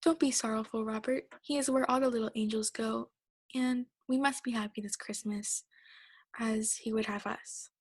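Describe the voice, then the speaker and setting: A young woman playing a gentle, grieving mother in a radio play, hushed and comforting. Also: on the high side, fairly even intonation, moderate pace, slightly rough, no echo, clean studio sound. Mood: consoling. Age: young adult. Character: soft, consoling mother in mourning. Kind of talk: theatrical radio play acting.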